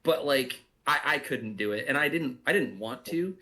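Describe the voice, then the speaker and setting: A man's voice: medium pitch, expressive, normal speed, bright, forceful tone. A man talking casually in a group commentary as a drama's creator, thinking aloud in a rambling way. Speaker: audio drama writer and director.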